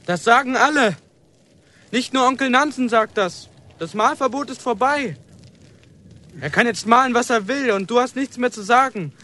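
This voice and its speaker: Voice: medium pitch, normal speed, pushed and bright, natural voice. An actor in a radio drama playing a defiant, frightened young boy, urgent and protesting. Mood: defiant, upset.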